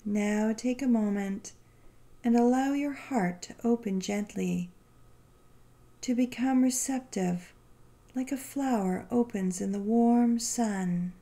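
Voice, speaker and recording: A woman guiding a meditation in a gentle, soothing, unhurried way, leaving long pauses between phrases. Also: on the high side. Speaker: meditation guide. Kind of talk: guided meditation narration.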